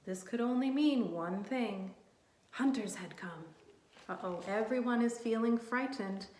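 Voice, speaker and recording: Slow, higher-voiced woman reading to children. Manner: animated, asks children questions and pauses for answers; simple, encouraging child-directed talk. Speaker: early childhood teacher reading aloud to children. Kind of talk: read-aloud storytime for young children. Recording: roomy, echoey room sound.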